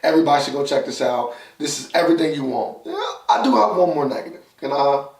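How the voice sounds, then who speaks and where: Gravelly-voiced man reviewing films, roomy echo. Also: fairly high pitch, fast, a little mellow. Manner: emphatic, repeats phrases for stress. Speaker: film reviewer and co-host. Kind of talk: casual opinionated movie review chat. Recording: roomy echo, distant from mic.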